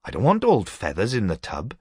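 A man's voice: lowish voice, huge pitch swings, a little fast, darkish tone, breathy edge, no echo, clean studio sound, heavily acted. A man voicing a gloomy, sceptical young lad who grumbles in a wry, matter-of-fact way for a short story. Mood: wry, dismissive.

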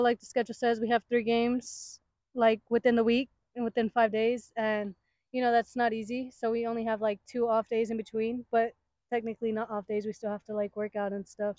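A woman's voice: on the high side, a little mellow, dry recording, muffled recording. A young woman, a college soccer player, talking casually in a post-game interview on a field at night, filling with "like" and "you know". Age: young adult.

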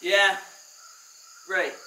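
A man's voice: sits high, steady tone, very slow. A man in his thirties chatting in an easygoing way and laughing often while working in an open timber shop. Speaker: timber framer. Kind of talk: casual chat while working. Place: open-sided timber-frame workshop, rain outside. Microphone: far camera. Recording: roomy, distant, with loud rain and shop noise.

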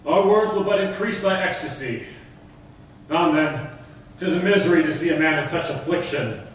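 Casting: male actor as solemn, pitying gentleman of verse drama, slightly high voice, far off in echoing hall. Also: normal speed, lightly acted. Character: sympathetic gentleman friend taking solemn leave. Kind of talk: theatrical staged reading of Elizabethan verse. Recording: echoey hall, far mic, noisy.

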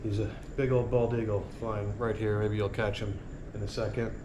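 A man's voice: fairly low pitch, level tone, normal speed. A middle-aged man talking to camera on an outdoor porch by a marina, earnest and rambling as he muses on life and urges viewers to stop worrying. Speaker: sailing vlogger. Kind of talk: casual reflective monologue. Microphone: action camera on a tripod a few feet away. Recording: outdoor ambience noise. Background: outdoor ambience.

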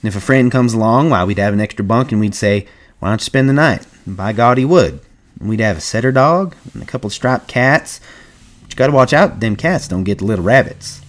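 A man acts out a working ranch hand from an American novel. He is shrewd and plain-spoken, with folksy rustic speech and a dreamer's streak, pitched somewhat above a low narrator's voice. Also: lively intonation, a little fast, natural voice. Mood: warm, dreamy.

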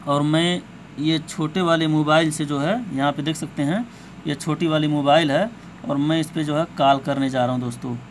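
A man's voice: fairly high pitch, steady tone, moderate pace. A man hosting an informal step-by-step phone tutorial, speaking to viewers as friends in a repetitive, somewhat hesitant way. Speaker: tech tutorial host. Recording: clear background noise, amateur recording.

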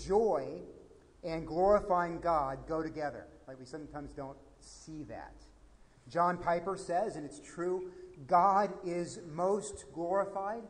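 Animated American pastor preaching in a reverberant church. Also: male voice, on the high side. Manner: animated, gesturing, swings from quiet to emphatic. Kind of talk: sermon. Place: church sanctuary at a pulpit. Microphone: pulpit microphone.

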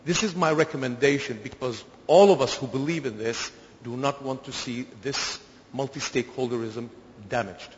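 A man from Syria making an earnest, emphatic intervention from the floor of a conference, with halting restarts. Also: medium pitch, a little hiss or hum. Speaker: conference participant. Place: large conference hall. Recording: echoey hall, dull lo-fi sound.

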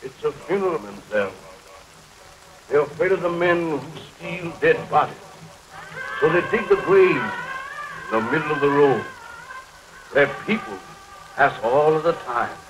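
An actor playing a grave, fearful coach driver in a 1930s horror film, with a solemn, deliberate delivery. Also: male voice, fairly high pitch, lively intonation. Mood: grave, explanatory. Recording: noisy 1930s film soundtrack under rain. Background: rain and thunderstorm.

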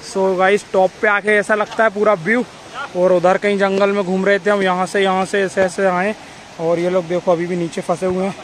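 A man, giving casual, excited vlog commentary and calling out to friends, recorded on a phone at arm's length outdoors. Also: sits high. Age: 20s. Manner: excited, informal, calling out to companions. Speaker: vlogger. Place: outdoors on forested rocky trail near waterfalls. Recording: noisy outdoor phone audio with rushing water.

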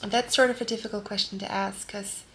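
A woman in her thirties with a Norwegian accent, answering viewer questions calmly while lying in bed, recorded by a close camera in a bedroom. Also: medium pitch, a little fast, a little hiss or hum. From Norway. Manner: calm and gentle, lying in bed while talking. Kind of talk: soft-spoken casual Q&A. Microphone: camera close at face level.

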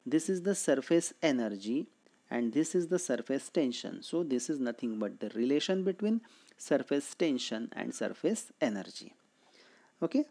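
A male teacher with an Indian accent explains his material in a didactic way. His voice has a dark, soft-edged tone and his pitch moves up and down in a lively way. He often poses a question and then answers it. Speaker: physics teacher. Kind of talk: explanatory lecture.

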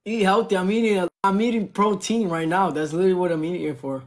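A young man hosting a phone livestream, teasing his guest and shouting out viewers who send gifts. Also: sits high, fairly even intonation, fast talker. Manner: boastful, teasing, shouting out gifters. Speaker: livestream host. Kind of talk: casual livestream banter. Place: indoor room. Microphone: phone at arm's length.

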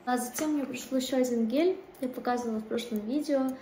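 High-pitched young woman vlogging casually. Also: fast talker, mellow, low-quality recording. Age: 20s. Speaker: manicurist vlogger. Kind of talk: casual chatty vlog. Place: small indoor kitchen and studio rooms. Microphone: phone at arm's length. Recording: clear background room noise.

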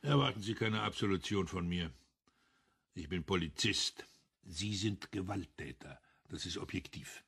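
Radio crime drama: a bitter, unyielding cop, low and very husky, curt delivery. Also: male voice, unhurried, a bit bright, full character voice. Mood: defiant, stern. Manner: curt, stern, clipped declarations. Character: embittered, unyielding male police officer.

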